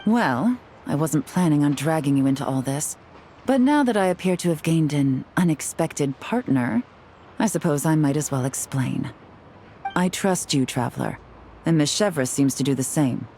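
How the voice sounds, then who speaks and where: An actress playing a cool, shrewd, self-assured female agent in a video game, composed and measured. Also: low-pitched, lively intonation, warm tone, slight background noise. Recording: music behind the voice.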